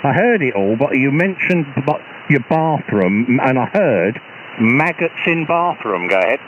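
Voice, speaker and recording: A British man, an amateur radio operator, chatting casually over a shortwave radio link in a halting, hesitant way with repeated false starts. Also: mid-range voice, very animated. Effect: radio transmission. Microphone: radio transceiver, received over shortwave. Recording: muffled, noisy shortwave ham radio signal.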